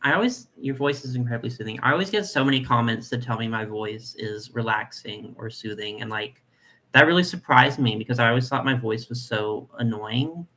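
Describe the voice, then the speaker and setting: A young man with a nasal voice hosting a casual live chat, reading comments and talking in a chatty, soft way with lots of fillers. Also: medium pitch, brisk. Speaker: doll collector channel host.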